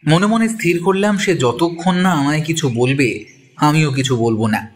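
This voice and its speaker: A man's voice: on the high side, normal speed, breathy edge. A man playing a detective's curious companion, telling the story in the first person in an audio drama. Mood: measured narration. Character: detective's male companion narrating, curious and sceptical.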